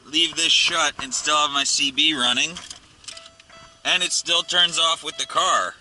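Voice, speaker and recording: A man's voice: fairly high pitch, sharp tone, rough recording. A man giving a casual hands-on tutorial from inside a car, talking offhandedly as he works, with some grumbling and swearing. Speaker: amateur how-to video maker. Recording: clear vehicle noise and clicks.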